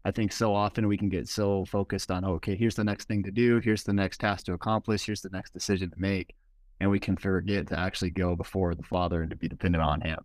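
A man's voice: low voice, flat delivery, fast, darkish tone, no room sound. A male guest pastor explaining plainly and practically in a casual podcast conversation.

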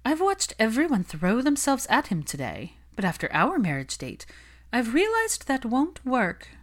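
A woman voicing a young, smug society gossip and husband-hunter in an audiobook, with an affected, bright, confiding manner. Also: on the high side, wildly swooping pitch, measured pace, pitched above the performer's own voice, full character voice. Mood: smug, preening.

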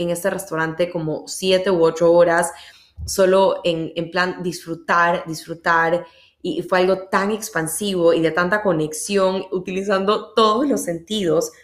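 A woman with an Ecuadorian accent hosting a podcast, telling personal stories casually and emphatically, repeating words for stress. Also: fairly low pitch. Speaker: podcast host. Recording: echoey, roomy sound.

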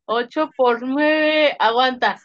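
A woman's voice: high-pitched, slow pace, no room sound, dull audio. A young Mexican woman co-hosting a casual chat podcast, rambling, slangy, restarting and trailing off mid-thought. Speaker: podcast co-host.

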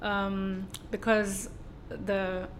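A woman's voice: medium pitch, level tone, extremely slow, slight background noise. A woman from southern Germany with a German accent, speaking as a guest in a casual interview and talking hesitantly with many fillers and restarts. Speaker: guest, sustainability consultant.

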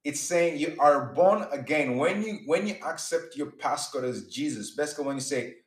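A man's voice: fairly high pitch, animated, normal speed, echoey room. A man giving a sermon-style teaching in an earnest way, often repeating words and restarting as he builds his points. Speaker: preacher.

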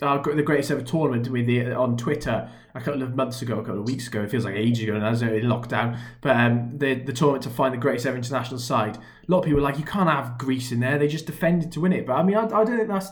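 A man's voice: mid-range voice, rapid-fire, few pauses. A Welsh man in his twenties co-hosting a football podcast, chatting casually and gushing, often repeating words for emphasis. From Wales. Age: 20s. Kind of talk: casual sports chat.

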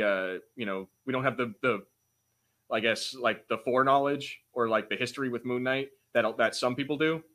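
A man co-hosting a podcast in an informal, halting way, chatting and reading out comments with the odd swear word. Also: medium pitch, fairly bright tone. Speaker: podcast co-host. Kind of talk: casual chat, reads out viewer comments.

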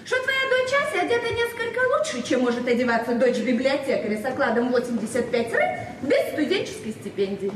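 A high female voice in an echoey, noisy old radio play: a meddling, needy official. She wheedles like a close friend and talks with self-important bureaucratic fussiness. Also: big pitch swings, a little fast, recorded from a distance. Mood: officious. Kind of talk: Soviet 1970s radio drama acting.

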